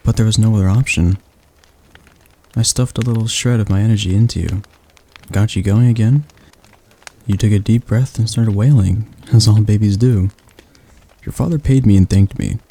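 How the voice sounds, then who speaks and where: Man voicing a husky, soothing demon tutor. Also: fairly low pitch, rough recording. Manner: soft, slow, soothing delivery; slight stutter. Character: calm male demon tutor, gentle and reassuring. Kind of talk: ASMR roleplay.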